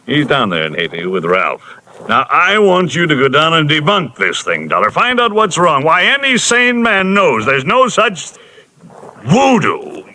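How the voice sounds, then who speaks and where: An actor playing a formal, anxious male insurance executive in an old radio drama, hesitant and fussy, with frequent pauses. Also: fairly high pitch, wildly swooping pitch, pushed and bright, slight background noise, exaggerated voice. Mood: fretful. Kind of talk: 1950s radio drama acting.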